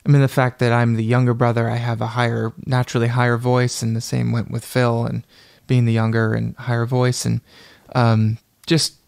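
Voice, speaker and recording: Gravelly young man, reflective. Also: medium pitch, monotone, moderate pace, hardly any pauses, a little mellow, no room sound. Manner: reflective, searching for words. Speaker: guest musician. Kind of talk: casual interview chat.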